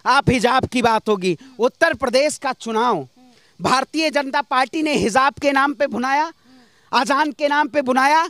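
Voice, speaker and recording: A man's voice: very high voice, moderate pace, fairly bright tone, no room sound. A man from northern India giving political opinions in an impassioned, rhetorical street-speech style, emphatic and fond of repetition.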